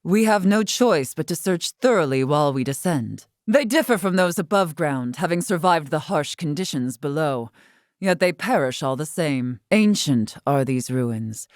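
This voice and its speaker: A dignified female warrior-scholar from a video game, with a fairly low voice. She speaks in stately, old-fashioned, carefully formal phrasing. The recording is dry, with no room sound. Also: darkish tone, dry recording. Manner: stately, archaic formal diction. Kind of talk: scripted video game character lines.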